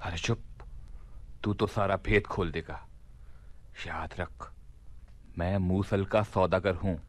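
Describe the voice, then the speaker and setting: An actor playing a shrewd, composed Caliph disguised as a merchant, measured and authoritative, in a radio play. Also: male voice, low voice, fairly even intonation. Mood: commanding. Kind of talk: Hindi radio play acting.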